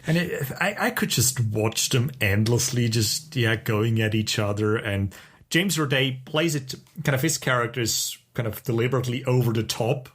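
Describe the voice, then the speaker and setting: A middle-aged man co-hosting a podcast, chatting casually and enthusiastically with a halting delivery. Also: mid-range voice, fairly bright tone. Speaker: podcast co-host. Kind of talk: casual chat about TV shows.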